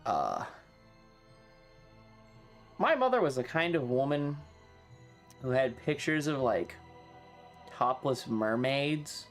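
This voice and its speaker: A man's voice: mid-range voice, sing-song. Rambling male streamer with music behind. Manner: rambling, halting, swears freely. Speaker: livestreamer. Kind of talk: casual rambling stream chat.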